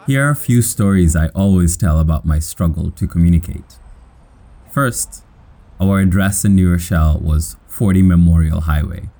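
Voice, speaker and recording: A man from Kenya with an American accent, narrating his own life in measured, scripted, plainly worded short sentences. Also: deep voice, warm tone. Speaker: storyteller.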